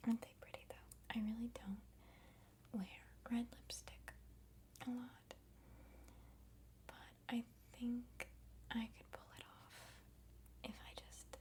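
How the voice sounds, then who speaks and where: A woman in her twenties doing an ASMR role-play as a friend, soft, unhurried, chatty and reassuring. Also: fairly high pitch, flat delivery. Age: 20s. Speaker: ASMR creator playing a friend doing the listener's makeup.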